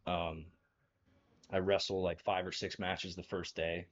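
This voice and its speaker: A young man from New Jersey tells long, meandering stories as an interview guest. His voice sits low, and he leans on fillers and laughs between thoughts. The audio is dull and lo-fi. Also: little pitch movement, unhurried, a little hiss or hum. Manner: rambling, filler-heavy, laughs often. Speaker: guest, former college wrestler. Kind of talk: casual interview storytelling.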